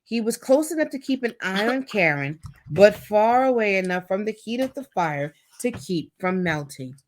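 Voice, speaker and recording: A woman's voice: medium pitch, normal speed. A woman reading a children's story aloud expressively, acting out the dialogue as she goes. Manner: animated, acts out dialogue. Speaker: storytime reader.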